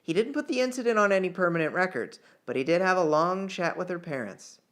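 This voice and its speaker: A man's voice: sits high, lively intonation, quick pace, fairly bright tone. Man reading a novel aloud. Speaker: author reading own novel chapter. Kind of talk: audiobook-style fiction narration.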